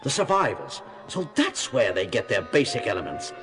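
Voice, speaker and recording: A man's voice: on the low side, wildly swooping pitch, normal speed. An actor playing a grave, alert moonbase crewman in a 1970s audio drama. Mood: grave, tense. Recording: music under the voice.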